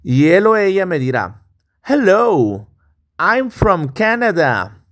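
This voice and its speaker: A male English teacher from Peru with a Peruvian Spanish accent, native in Spanish. His voice sits fairly high and swings widely in melody. He takes his time, modelling each phrase carefully for learners to repeat. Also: very animated, a bit bright. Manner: slow, deliberate modelling of phrases. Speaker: English teacher, online course host. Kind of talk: step-by-step language lesson with repetition drills.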